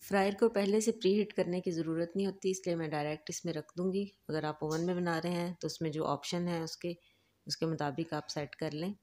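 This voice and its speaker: A woman hosting a home cooking channel, giving calm, instructive step-by-step recipe narration. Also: lowish voice, fairly quick, a little hiss or hum. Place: home kitchen.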